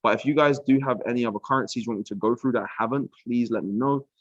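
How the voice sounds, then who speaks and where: A young British man breaking down trading charts on a casual live stream, relaxed and chatty, full of "um", "bro" and "like". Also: medium pitch, quick pace, no room sound, muffled recording. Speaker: trading mentor. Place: villa room.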